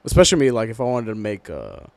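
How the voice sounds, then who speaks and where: A man's voice: lowish voice, huge pitch swings, quick pace, gravelly, dry recording. Young guy from socal on a podcast, cussing a lot, chatting loose, dry close sound. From Southern California, United States. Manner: profane, slangy, fond of filler phrases. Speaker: podcast host. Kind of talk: casual podcast chat with friends.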